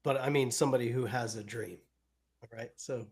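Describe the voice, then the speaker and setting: A man's voice: medium pitch, brisk. A man co-hosting an interview, asking long questions while searching for his words. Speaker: co-host, former instructor.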